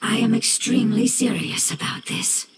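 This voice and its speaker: A woman's voice: a little high, natural voice. A woman voicing a solemn, ancient fungus spirit in a video game, speaking in a grave, formal manner. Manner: grave, formal, measured wording.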